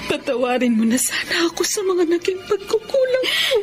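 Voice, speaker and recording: A high-voiced, ailing Filipina mother, plaintive and remorseful. She speaks in radio drama style with loud music under her. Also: very animated, normal speed. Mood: tearful, remorseful.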